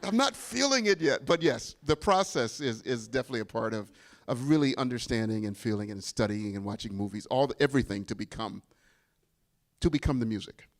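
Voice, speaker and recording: A man's voice: medium pitch, lively intonation, brisk. A middle-aged man, a bandleader and composer, talking casually and animatedly to a live audience, often restarting his sentences.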